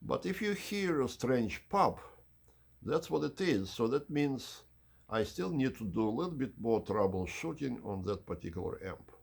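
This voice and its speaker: A man hosting an unhurried explanatory video tour in measured, slightly formal phrasing, with a husky, gravelly voice. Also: mid-range voice, sing-song. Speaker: channel host giving an audio system tour.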